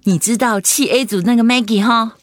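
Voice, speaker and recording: An actress playing a gossipy young female office worker in a radio drama, animated and conspiratorial with drawn-out sentence endings. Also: fairly high pitch, lightly acted.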